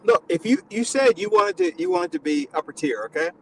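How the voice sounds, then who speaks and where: A man's voice: sits high, huge pitch swings, brisk, gravelly, slight background noise, rough recording. A man hosting a live stream, teasing his guest in crude, casual banter. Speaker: stream host.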